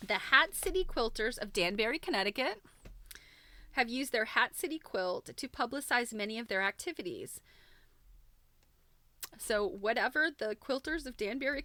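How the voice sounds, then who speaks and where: Animated woman chatting casually, thinking aloud. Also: fairly high pitch, no room sound. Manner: informal, halting, thinking aloud. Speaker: host of a live quilting book show. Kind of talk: casual livestream chat, commenting while browsing a book.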